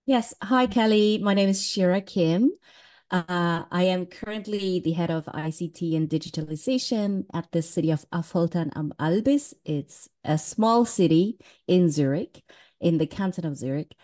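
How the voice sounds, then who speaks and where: Low, mellow-voiced woman. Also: moderate pace. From Switzerland. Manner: chatty and candid, laughs easily. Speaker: guest. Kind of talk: casual interview talk.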